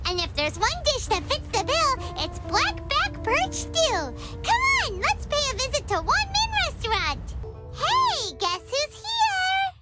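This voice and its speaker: Squeaky, very high-pitched tiny girl companion. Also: huge pitch swings, slow pace, full character voice. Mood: eager. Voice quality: squeaky, childlike. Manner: perky, exclamatory, speaks of herself in third person. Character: tiny childlike floating girl companion, gluttonous, perky and cocky. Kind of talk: video game cutscene acting. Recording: loud music behind the voice.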